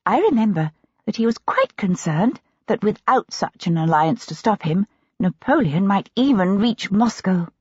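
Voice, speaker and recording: A woman's voice: mid-range voice, very animated, normal speed, breathy edge, no echo. Brief: shy genteel young wife voiced by a woman reader, light and lilting, dry dull recording. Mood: earnest. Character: Lady Foley, a young, gentle, shy wife.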